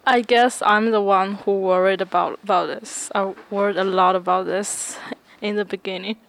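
A woman's voice: mid-range voice, steady tone, normal speed, a little hiss or hum. A young woman whose first language is Chinese, answering interview questions in careful, hesitant English.

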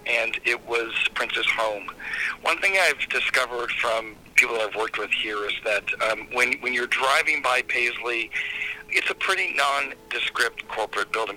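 A man's voice: medium pitch, bright, forceful tone, a little hiss or hum, poor audio. A man speaking as an arts venue director in an interview, enthusiastic with frequent restarts. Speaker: museum executive director. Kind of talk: interview answers.

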